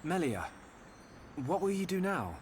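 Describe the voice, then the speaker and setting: A man playing a sincere, friendly young hero in a video game, speaking gently and earnestly. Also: high voice, big pitch swings, measured pace, slight background noise. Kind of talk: video game cutscene acting.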